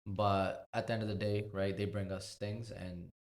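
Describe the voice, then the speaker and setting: A man's voice: lowish voice, flat delivery, moderate pace. A man who is a musician, a guest on a podcast, earnestly explaining his plans and often adding "right?". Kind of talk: casual interview chat.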